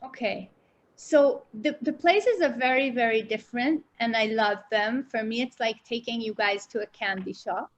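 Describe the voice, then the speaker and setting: A woman's voice: a little high, normal speed. A woman from Israel with a mixed British and American English accent, explaining things informally and articulately as a guest art guide over a dull online connection. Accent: British-American English mix. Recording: dull lo-fi online call audio.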